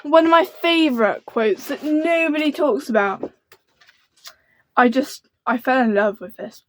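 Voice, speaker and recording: Soft-voiced young woman, gushing. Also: high-pitched, a little slow, a little hiss or hum. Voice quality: soft, quiet voice. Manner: rambling and gushing, full of fillers. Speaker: book vlogger. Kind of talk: casual book chat to camera.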